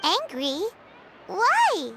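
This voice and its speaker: A woman voicing a small, excitable, childlike female companion creature in a video game, squeaky and full of wonder. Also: drawn-out words, exaggerated voice. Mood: curious. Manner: exclamatory, wide-eyed, gasping. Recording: loud music behind. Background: game music.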